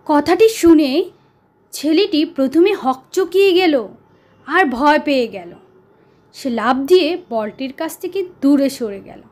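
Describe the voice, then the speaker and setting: A woman narrating a children's story as a storyteller, deliberate and pausing for dramatic effect. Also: sits high, expressive, darkish tone.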